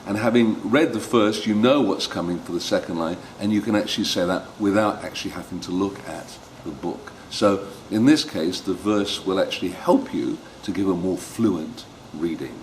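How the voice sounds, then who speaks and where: A man giving an instructional demonstration as a storyteller, speaking expressively and pausing for emphasis, with some background noise. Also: low-pitched, rough recording. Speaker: storyteller teaching read-aloud technique.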